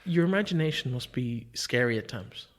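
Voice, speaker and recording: Man with a mid-pitched voice, interviewing. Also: expressive, normal speed. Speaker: interviewer, host. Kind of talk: casual conversational interviewing.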